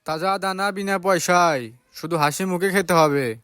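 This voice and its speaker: A sly cartoon fox played by a man, scheming and theatrical in a fairly high male register. The talk is wheedling and crafty, with music playing behind it. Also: moderate pace, a bit bright. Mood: inviting. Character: sly, scheming male fox, sweet-talking but cowardly.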